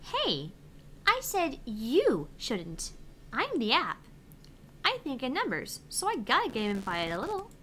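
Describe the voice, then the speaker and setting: A bright, sparkly-toned female app assistant played by a woman guides a player through a visual novel game. She is chirpy, playful and encouraging, with big swoops in pitch. There is noticeable background noise. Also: huge pitch swings, a little slow, exaggerated voice. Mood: chipper. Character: perky, reassuring female phone app assistant. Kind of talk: reading visual novel game dialogue aloud.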